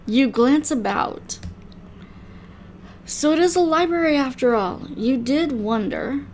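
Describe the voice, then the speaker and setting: Older female gaming host and story reader: high-pitched, slow, casual, with light music under the voice. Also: lively intonation, a little mellow, slight background noise. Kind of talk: casual commentary and read-aloud narration of a visual novel.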